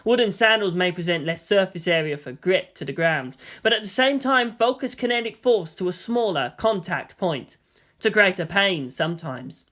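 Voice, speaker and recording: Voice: medium pitch, animated, normal speed, a bit bright. A narrator reading an action story aloud in a dramatic, scripted storytelling style.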